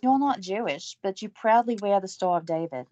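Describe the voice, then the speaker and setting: A woman's voice: mid-range voice, expressive, normal speed, mellow, close to an everyday voice. An actress playing an earnest young woman reporter who is also an army medic, asking questions. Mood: curious. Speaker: interviewer. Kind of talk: audio drama acting. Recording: dull lo-fi recording.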